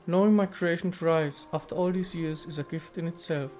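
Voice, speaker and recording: Man voicing an ancient, poised goddess spirit, pitched higher. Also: steady tone, moderate pace, darkish tone, faint music behind, close to an everyday voice. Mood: gracious, wistful. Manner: poised, formal, poetic. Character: ancient female statue goddess spirit, serene, aloof, contemplative. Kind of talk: dramatic reading of video game dialogue.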